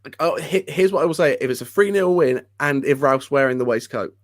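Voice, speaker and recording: A man's voice: fairly high pitch, fairly quick, no room sound, studio quality. An upbeat man co-hosting a casual football chat, bold and enthusiastic. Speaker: co-host.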